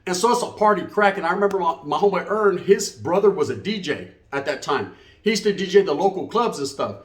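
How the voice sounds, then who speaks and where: A man with a fairly high voice and a Chicano Californian accent, recorded in an echoey room. He tells a comic story casually, full of street slang and bits of Spanish. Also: expressive, fast talker. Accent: Chicano Californian American. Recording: roomy, echoey sound.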